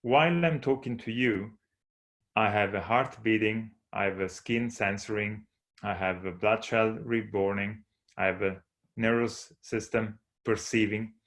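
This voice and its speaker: A man with an Italian accent presents a talk in English, his second language. His voice sits a little low, and he explains ideas earnestly, now and then restarting a phrase. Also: steady tone, a little slow, a bit bright. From Italy. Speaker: speaker. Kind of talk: conference talk with slides.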